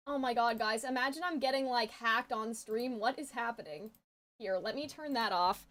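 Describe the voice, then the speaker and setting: A woman's voice: fairly high pitch, normal speed, fairly bright tone. A woman chatting casually to viewers on a live game stream, informal and exclamatory, swearing freely. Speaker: video game streamer, host. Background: music.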